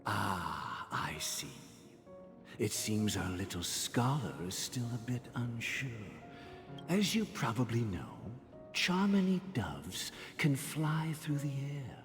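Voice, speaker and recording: An actor playing a gentle, theatrical guardian figure talking to children in a measured, indulgent, storytelling way for a video game. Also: medium pitch, very animated. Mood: indulgent, musing. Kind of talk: scripted video game voice acting. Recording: long hall reverb under clear music.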